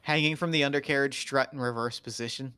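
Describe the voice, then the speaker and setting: A man's voice: mid-range voice, steady tone, fast talker, background noise. A man narrating stage directions at a script table read in terse, clipped, dramatic phrases.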